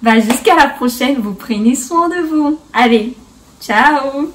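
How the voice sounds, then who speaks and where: A woman's voice: high voice, lively intonation, takes it slowly, distant mic, slight background noise. A woman hosting a casual beauty tutorial in a playful, chatty way, slipping bits of English into her French. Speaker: beauty vlogger, host.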